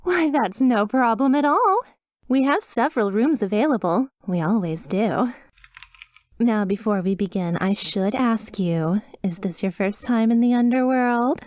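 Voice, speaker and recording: A woman's voice: on the high side, animated, unhurried, a little mellow, no room sound, studio quality. A woman voicing a chipper, sweetly reassuring demon resort receptionist with a polished, over-polite customer service voice in a scripted ASMR roleplay. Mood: bright, welcoming.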